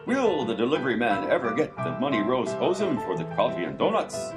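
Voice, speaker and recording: A man's voice: medium pitch, big pitch swings, fairly quick, close to an everyday voice. A man playing a mock-serious, testy announcer narrating cliffhanger questions in a comedy radio serial. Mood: mock-dramatic. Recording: loud guitar music behind the voice.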